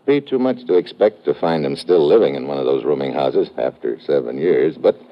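An actor playing a brisk, wry investigator who questions people and narrates his case in an old radio detective drama. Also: extremely low pitch, lively intonation. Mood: inquisitive, businesslike. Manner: clipped, wry, businesslike. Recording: dull, noisy vintage radio recording.

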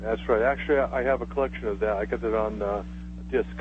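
Man, radio caller, fast casual speech, tinny phone line, faint noise. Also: fairly low pitch, steady tone. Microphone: telephone.

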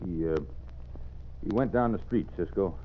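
A man's voice: low voice, normal speed. An actor playing a surly, wary Old West henchman with folksy cowboy talk in a radio western. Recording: muffled, hissy vintage radio recording.

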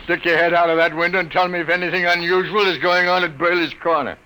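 A man's voice: high-pitched, fairly even intonation, quick pace, pushed and bright. A man playing a sour, sarcastic, exasperated newspaper editor, grumbling through an old-time radio comedy drama. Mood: sardonic, weary. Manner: sardonic, gruff in wording, grumbling. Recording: noisy old radio recording.

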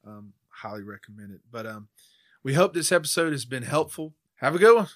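A man's voice: medium pitch, expressive, brisk, fairly bright tone, dry recording, clean studio sound. A man with an American accent hosting a faith podcast, talking in an informal, conversational way. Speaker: podcast host; church member speaking on faith. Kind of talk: casual discussion.

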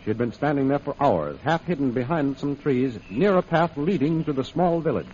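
A man's voice: medium pitch, sing-song, normal speed, a little mellow, slight background noise, natural voice. A man narrating an old radio adventure serial in a dramatic, scripted style. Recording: muffled old radio recording.

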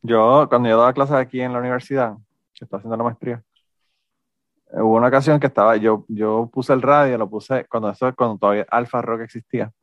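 A man's voice: mid-range voice, fairly even intonation, fast talker, no echo, dull audio. A man with a Puerto Rican accent chatting casually, joking and swearing loosely as he tells stories. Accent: Puerto Rican Spanish. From Puerto Rico. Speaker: former university instructor. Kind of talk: casual chat.

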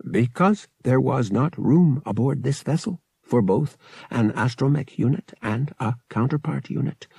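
A man's voice: mid-range voice, measured pace, creaky, warm tone, slightly rough, no room sound, clean studio sound, pitched above the performer's own voice, heavily acted. A man voicing a polite, literal-minded little astromech droid in an audiobook, formal, matter-of-fact and mechanical.

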